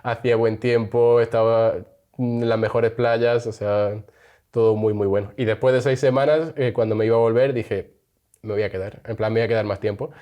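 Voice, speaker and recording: A man's voice: medium pitch, fairly even intonation, moderate pace, muffled recording. A young man from Spain, chatting casually as an interview guest and laughing often. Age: young adult.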